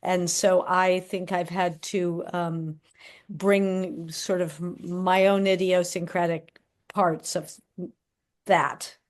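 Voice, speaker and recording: Slow-talking woman. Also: fairly low pitch, flat delivery. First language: French. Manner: measured and thoughtful with fillers. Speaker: guest, playwright and librettist. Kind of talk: casual interview answers.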